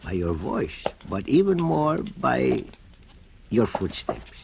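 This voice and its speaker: An older man, acting a gentle fatherly shoemaker and narrating in an old radio drama, with soft chuckles. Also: mid-range voice, sing-song, slow pace, creaky, noisy background.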